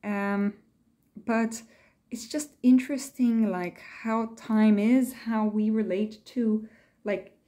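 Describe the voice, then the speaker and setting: A woman's voice: on the high side, steady tone, slow, warm tone. Woman, casual vlogger, hesitant, rambling, reflective, reading quotes aloud, musing delivery.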